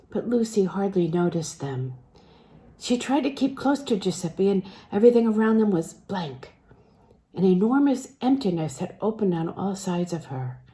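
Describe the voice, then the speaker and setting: A woman's voice: mid-range voice, dark tone. A woman reading literary fiction aloud as an audiobook narrator. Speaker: audiobook reader.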